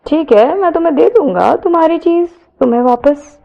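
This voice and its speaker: A mischievous, smug young man from an audio romance, played by a female narrator in a fairly high voice. He taunts playfully, amused with himself. The sound is slightly dull. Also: animated, normal speed, creaky. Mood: teasing.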